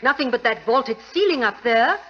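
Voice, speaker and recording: An actress playing a plucky, haughty aristocratic bride-to-be in a 1940s radio drama, imperious and impatient. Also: female voice, fairly high pitch, animated, close to an everyday voice. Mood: scornful. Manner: imperious, brisk. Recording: dull, noisy old radio recording.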